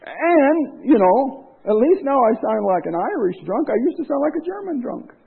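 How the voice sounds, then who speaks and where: Male preacher, high gravelly voice, impassioned sermon delivery, tinny lo-fi recording. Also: very animated, moderate pace. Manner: impassioned, rising to loud emphasis then dropping low. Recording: tinny phone-like lo-fi sound.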